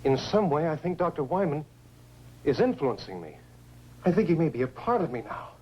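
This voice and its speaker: An actor playing a dazed astronaut shaken by a crash landing, in 1950s science fiction film style. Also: male voice, fairly high pitch, very animated, a little fast, darkish tone, breathy edge, highs cut off. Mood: puzzled. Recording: noisy old film soundtrack with hum.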